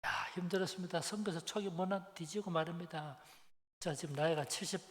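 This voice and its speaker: Older Korean preacher in a reverberant hall. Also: male voice, fairly high pitch, fairly quick. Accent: Busan Gyeongsang. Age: 70s. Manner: colloquial, rhetorical questions to listeners, repeats phrases for emphasis. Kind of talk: Sunday afternoon sermon, conversational storytelling.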